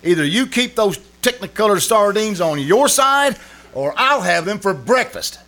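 A man's voice: sits high, lively intonation, normal speed, sharp tone, exaggerated voice. An actor playing an exasperated, wry husband feuding with his wife in an old-time radio comedy, performed live. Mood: grumbling sarcasm. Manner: wry, flustered, protesting. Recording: live stage performance with clear noise.

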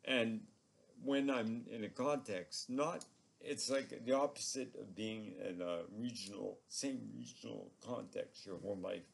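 Gravelly husky older French-Canadian man. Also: fairly low pitch, a little slow. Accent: Quebec French-Canadian, softened. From Quebec. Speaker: interview guest. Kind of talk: reflective conversation.

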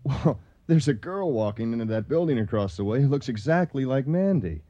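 A man's voice: mid-range voice, animated, brisk, mellow, dry recording, lightly acted. Actor playing a flustered, swooping, boastful man, slightly muffled. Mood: nervous bragging. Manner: stammering, blustering. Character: flustered, boastful, jealous young man, lovelorn restaurateur. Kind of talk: radio comedy acting.

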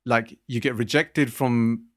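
A man's voice: medium pitch, steady tone, takes it slowly. A man in his thirties co-hosting a podcast, talking earnestly with many fillers and restarts. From Britain. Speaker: podcast co-host. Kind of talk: casual podcast discussion.

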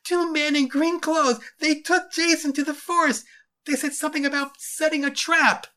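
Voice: high voice, fairly even intonation, moderate pace, bright, forceful tone, voice pushed up. A storybook narrator voicing a hurt, upset schoolboy who laments in a blubbering manner. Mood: distraught. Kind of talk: dramatized storybook character voice.

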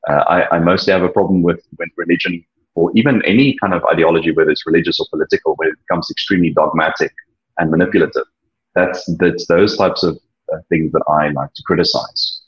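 A young South African man with a deep, husky voice speaks earnestly about his past, often stopping and restarting mid-thought. Afrikaans is his first language, and the recording sounds dull and lo-fi. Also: steady tone. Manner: earnest, halting, many restarts. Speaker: interview guest. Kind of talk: personal testimony, reflective.

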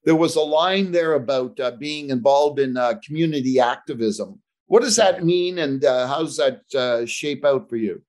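A male podcast host in easy conversation with his guest. His pitch swings widely and expressively, rising high and dipping low. He strings thoughts together casually, peppering them with "right?" and "you know". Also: animated, moderate pace, few pauses, darkish tone. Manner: conversational, asks questions, tags "right?". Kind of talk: casual interview chat.

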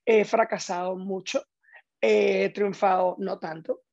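A man's voice: sits high, little pitch movement, slow pace, vocal fry, dull audio, heavy background noise. A man from Caracas with a Venezuelan accent, telling his story casually and emphatically as an interview guest. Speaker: interview guest, stage actor.

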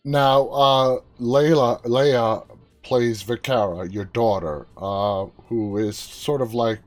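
A man's voice: medium pitch, fairly even intonation, takes it slowly, soft background music. A man hosting a live interview, asking questions in a casual, conversational style. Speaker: interview host.

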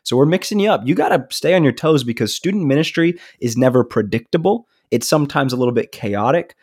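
A man's voice: mid-range voice, lively intonation, fast, a little mellow, breathy edge, no room sound, studio quality. A man co-hosting a casual podcast, sounding nasal from a stuffy nose, upbeat and easygoing. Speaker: podcast co-host, student ministry leader.

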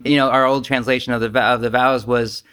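A man's voice: mid-range voice, flat delivery, fairly quick, pushed and bright, clean tone. A man leading a casual group discussion as a Zen teacher, speaking candidly and self-deprecatingly, with swearing and imagined quotes.

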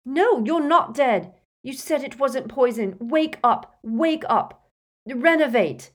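A woman's voice: high voice, expressive, slow pace, voice pushed up, full character voice. A woman voicing a desperate, frightened teenage boy wizard in an audiobook, urgent and pleading in a gasping way. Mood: panicked. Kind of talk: audiobook character voice.

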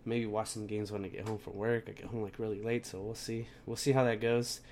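A young man with a fairly low voice talks through his basketball picks in a loose, rambling podcast style, full of "like" and "uh". He is stuffed up with a cold, so his voice comes out congested. A faint hiss sits under the recording. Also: level tone, a little fast, a little mellow. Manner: rambling, filler-heavy, restarts sentences. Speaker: podcast host. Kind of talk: casual sports picks podcast.

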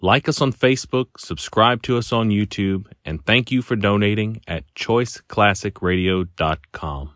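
A man's voice: lowish voice, unhurried, hardly any pauses, a bit bright, dry recording, studio quality. A man announcing a scripted show introduction for a podcast.

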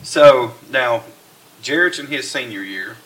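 A man's voice: medium pitch, expressive, fairly bright tone, slight background noise, low-quality recording. A man hosting a podcast, chatting casually with guests and using many fillers. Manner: friendly, halting with fillers. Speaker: podcast host. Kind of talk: casual interview chat.

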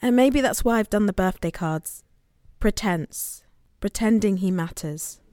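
Actress as guilt-ridden, anxious sister. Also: female voice, medium pitch, a little slow, mellow, close to an everyday voice. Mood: guilty. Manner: colloquial, self-questioning. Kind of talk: audio drama, confessional monologue and dialogue.

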